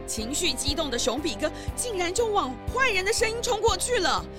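A woman's voice: very high-pitched, sing-song, fairly quick, over music. A woman narrating a scripted children's detective story.

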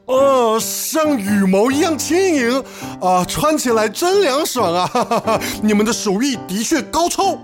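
A woman voicing a vain, gullible king in a storybook tale, speaking in a pompous, drawn-out way. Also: fairly high pitch, expressive, over music, pitched above the performer's own voice. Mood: delighted, pompous. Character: vain, gullible, pleased king praising invisible clothes.

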